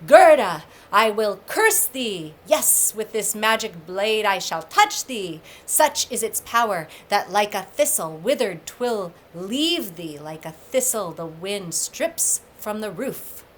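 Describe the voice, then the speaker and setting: A woman's voice: mid-range voice, sing-song, takes it slowly, few pauses, fairly bright tone. A woman voicing a reckless, threatening male messenger who declaims curses in archaic speech, with noise behind her. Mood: menacing, loud. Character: reckless male messenger, menacing young spell-caster. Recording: clear background noise.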